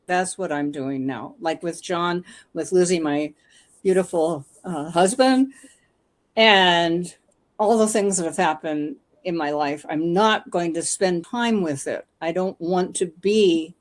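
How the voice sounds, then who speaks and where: Earnest woman in her eighties. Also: lowish voice. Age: 80s. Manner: earnest, measured, slows down when serious. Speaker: panel guest. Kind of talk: casual panel conversation.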